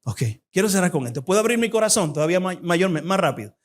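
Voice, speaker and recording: Young Dominican man, high-pitched, fervent fast-talking guest preacher making an appeal in church. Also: big pitch swings. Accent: Dominican Spanish. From Dominican Republic.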